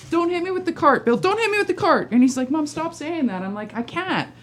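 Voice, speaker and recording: A middle-aged woman casually telling comic anecdotes, acting out both sides of conversations in an animated way. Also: high voice, a little fast. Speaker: guest storyteller, mother of one of the crew. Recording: clearly noisy room ambience.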